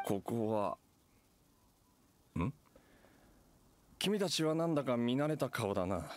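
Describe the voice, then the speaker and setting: An actor playing a pompous, clueless swindler's ghost in an anime game, theatrical and full of himself. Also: male voice, mid-range voice, animated, takes it slowly, a little hiss or hum. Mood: bewildered. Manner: pompous, theatrical, self-satisfied. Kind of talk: Japanese anime game voice acting.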